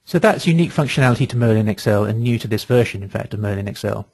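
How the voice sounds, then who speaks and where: Man, webinar presenter, slightly rough husky voice, dry close sound, methodical step-by-step software demonstration. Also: lowish voice, lively intonation, no echo. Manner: methodical, measured step-by-step explaining. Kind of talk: software demonstration, explanatory walkthrough.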